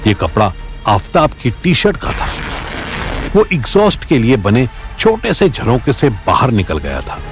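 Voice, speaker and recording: A man narrates an audio story with drama and wide swings of intonation. His pitch sits in the middle, and soft music runs underneath. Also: huge pitch swings, measured pace. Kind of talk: dramatic scripted narration in Hindi. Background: faint background music.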